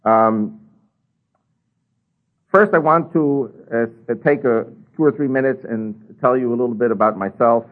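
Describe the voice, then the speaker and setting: A man's voice: mid-range voice, a little slow, narrow, tinny audio. An older man with an American accent, a native Spanish speaker, preaching a sermon and telling his own story, with frequent ums and uhs. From United States.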